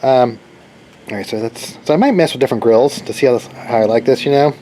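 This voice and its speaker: A man with a mid-pitched voice talks through a hobby project over noticeable background noise. He is informal and unscripted, wandering from thought to thought as he works things out aloud. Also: fast talker, mellow. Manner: rambling, informal, thinks aloud. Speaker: DIY radio hobbyist presenting own project. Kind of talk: casual, unscripted project narration. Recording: clearly noisy background.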